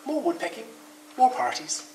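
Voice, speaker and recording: A storybook reader voicing a calm, wise female bear in a soft, soothing tone. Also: mid-range voice, gravelly, a little hiss or hum, pitched above the performer's own voice. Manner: slow, soothing and gentle. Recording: roomy echo, distant mic.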